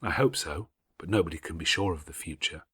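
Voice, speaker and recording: A man voicing a patient, devout, bookish older schoolgirl in an audiobook, speaking in a measured, earnest, moralising way. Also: deep voice, fairly bright tone, husky, no room sound, clean studio sound, exaggerated voice. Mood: calm, earnest. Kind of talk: audiobook character voice.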